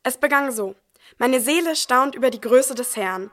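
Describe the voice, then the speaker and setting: A woman's voice: high voice, animated, normal speed, a bit bright, faint music behind. A woman playing a devout, ordinary young expectant mother who tells her story in a scripted nativity audio play. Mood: awed, happy.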